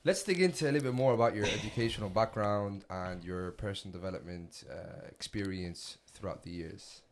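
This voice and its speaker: A man's voice: lowish voice, fairly quick, a little hiss or hum. A man hosting a podcast who greets his guest courteously and asks friendly questions. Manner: warm and courteous, uses Islamic greetings. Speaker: podcast host. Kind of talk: scripted intro then casual interviewing.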